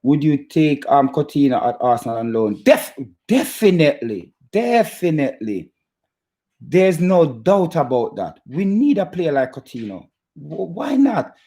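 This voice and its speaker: A man from Jamaica with a Jamaican accent, hosting a casual football fan chat in a halting, stop-start way and repeating his phrases. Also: a little high, big pitch swings, warm tone. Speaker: football fan channel host. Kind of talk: casual chat to live viewers about football.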